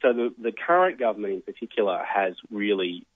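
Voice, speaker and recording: An Australian man giving measured, analytical explanations as an expert guest in a radio interview. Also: on the low side, big pitch swings, dry recording. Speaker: think tank research fellow, interview guest. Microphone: telephone. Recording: tinny narrow phone line.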